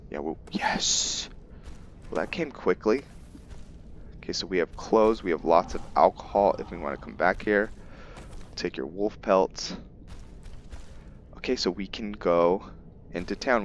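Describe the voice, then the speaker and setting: A man giving casual gameplay commentary on his roleplay playthrough, musing aloud in a halting way. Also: low-pitched, a bit bright. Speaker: gamer and streamer narrating his roleplay playthrough. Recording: muffled audio with faint background noise.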